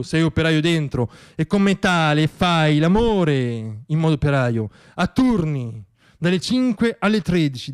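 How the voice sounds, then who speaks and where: Higher-voiced man performing a sardonic theatrical monologue. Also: clean tone. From Italy. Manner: emphatic, pausing, repeats words for effect. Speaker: monologist performing own piece. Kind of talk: theatrical comic radio monologue.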